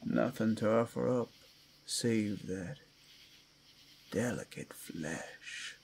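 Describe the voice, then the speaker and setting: Gravelly, menacing male werewolf, slow predator. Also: lowish voice, a little mellow, a little hiss or hum. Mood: taunting, menacing. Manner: menacing, seductive, theatrical, often dropping to whispers. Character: predatory male werewolf toying with his prey. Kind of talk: ASMR roleplay, dramatic monologue.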